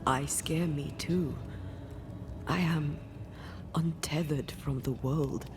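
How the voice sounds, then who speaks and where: Solemn, devoted female spirit for a game drama: measured, hushed, slow, slightly gravelly. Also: low voice, fairly even intonation. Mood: haunted, intimate. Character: ghost woman, detached from life, devoted to her lover. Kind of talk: dramatic video game dialogue. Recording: music under the voice.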